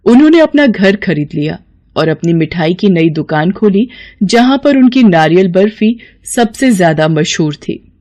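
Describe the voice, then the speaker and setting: A woman's voice: lowish voice, expressive, a little slow, a little mellow. A woman narrating a scripted moral tale for children in a storytelling style.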